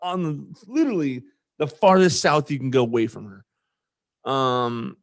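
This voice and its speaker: A man's voice: medium pitch, lively intonation, a little slow. A man with an American accent hosting a podcast, telling personal stories in a casual, earnest way. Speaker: podcast host; former army platoon leader.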